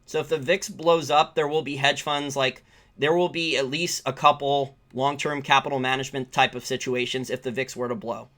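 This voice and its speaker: A man's voice: mid-range voice, fairly even intonation, normal speed, sharp tone. An American man giving informal, opinionated, off-the-cuff financial market commentary as a channel host, repeating points for emphasis. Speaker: finance channel host answering live viewer questions.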